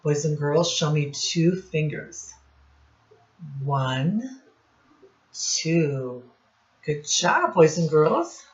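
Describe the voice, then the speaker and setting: A woman teacher reading a picture book aloud to young children in deliberate, separated phrases, asking them questions as she goes. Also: sits low, expressive. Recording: dull, muffled lo-fi recording.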